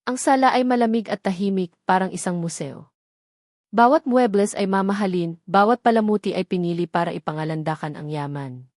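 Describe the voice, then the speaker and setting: A woman's voice: medium pitch, brisk, a little mellow, dry recording. Woman storyteller, dry close sound. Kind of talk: dramatic scripted narration of a love story.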